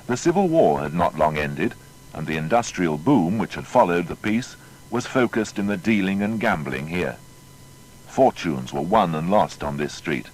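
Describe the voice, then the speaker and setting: Male narrator, low-pitched, formal, dramatic storytelling, scripted biography, faint rumbling noise. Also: animated, normal speed, a little mellow, rough recording.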